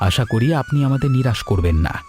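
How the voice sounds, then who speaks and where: A man voicing a sincere, courteous young suitor in an animated story, speaking earnestly and politely. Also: medium pitch, quick pace, soft background music. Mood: respectful, grateful. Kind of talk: Bengali animated story dialogue.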